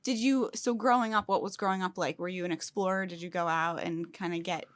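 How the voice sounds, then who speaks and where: A woman's voice: lowish voice, steady tone, a little fast. A woman hosting a podcast, who keeps the talk upbeat and encouraging and follows up with friendly questions and frequent laughs. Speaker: podcast host, interviewer. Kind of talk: casual interview chat.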